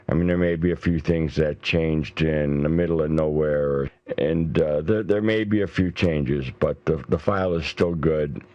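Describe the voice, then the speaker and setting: A middle-aged man walking through software step by step in a casual, informal, explanatory voice-over. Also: deep voice, no room sound. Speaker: software tutorial presenter. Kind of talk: casual step-by-step screen tutorial. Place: indoors, voice-over for a screen recording.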